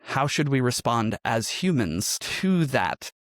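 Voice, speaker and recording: A man's voice: mid-range voice, sing-song, slow pace, dry recording. Man explaining in a dry-sounding interview. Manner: explanatory, often checking with "right?". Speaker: podcast guest, audio drama creator.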